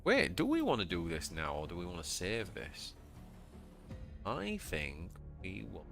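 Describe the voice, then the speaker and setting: A man with a Yorkshire accent streaming a card game live, chatting to viewers and yelping with excitement at surprises. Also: a little slow, creaky, over music. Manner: excitable, bursts into high yelps at surprises. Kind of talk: live gameplay commentary.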